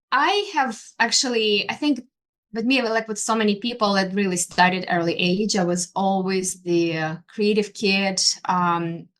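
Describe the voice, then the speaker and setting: A woman from Ukraine speaks in a relaxed interview. Her talk is full of hesitant fillers and restarts as she searches for words. The pitch sits in the middle range for a woman. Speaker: interior designer and artist. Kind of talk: conversational interview.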